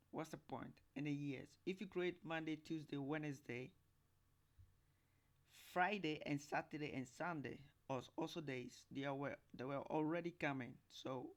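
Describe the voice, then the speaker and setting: Guy with a slightly high voice doing skeptical commentary, stops and starts, repeats himself, keeps questioning. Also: gravelly. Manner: halting, repetitive, questioning. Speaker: host giving commentary. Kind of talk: informal skeptical scripture commentary.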